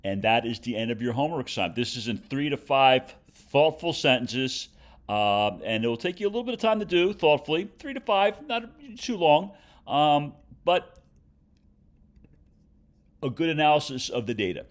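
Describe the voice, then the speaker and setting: Male teacher, medium pitch, informal instructional walkthrough, careful and step-by-step, halting phrasing, stresses key words. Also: big pitch swings. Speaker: teacher giving homework directions.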